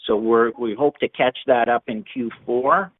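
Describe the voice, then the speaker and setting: Halting male executive on narrow phone line. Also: medium pitch, steady tone. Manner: halting, repeats words. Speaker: company finance executive. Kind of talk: unscripted answer on earnings call.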